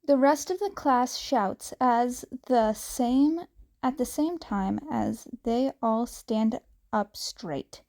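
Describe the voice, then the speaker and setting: A woman reads a fanfiction story aloud at a slow, halting pace, with frequent restarts. Her voice sits a little high but sounds soft and mellow. She lifts her pitch sharply whenever she speaks a character's lines. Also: lively intonation. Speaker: fanfiction reader.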